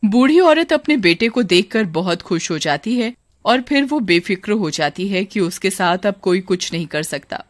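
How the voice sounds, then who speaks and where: A male storyteller reads scripted narration. His voice is high for a man, and the recording is dry with hardly any room sound. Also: sing-song, normal speed, dry recording.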